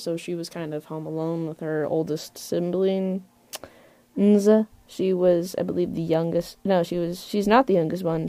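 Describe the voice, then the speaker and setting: A woman's voice: lowish voice, warm tone, dry recording. A woman giving a casual interview as a voice actor, hesitant and piecing their thoughts together while talking. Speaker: voice actor being interviewed about their role.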